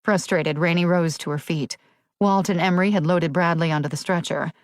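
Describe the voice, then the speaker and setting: Low-voiced woman narrating a thriller audiobook. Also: steady tone, fairly quick, no room sound, studio quality. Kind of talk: scripted dramatic thriller narration.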